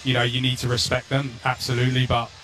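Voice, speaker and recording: A man's voice: mid-range voice, monotone, fast, pushed and bright, faint music behind, poor audio. A man with an English accent, a former pro soccer player, chatting loosely as a radio guest with many restarts. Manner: rambling, many restarts and fillers. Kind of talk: casual radio chat.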